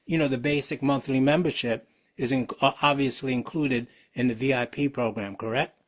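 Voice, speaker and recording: A man calling in with a question on a business call, halting and stumbling over the starts of words. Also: medium pitch, fairly even intonation. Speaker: caller asking a question.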